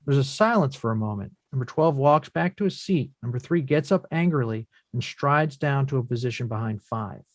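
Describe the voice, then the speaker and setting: A man's voice: medium pitch, animated, brisk, hardly any pauses, no echo. A man reading stage directions as scripted narration for a drama table read.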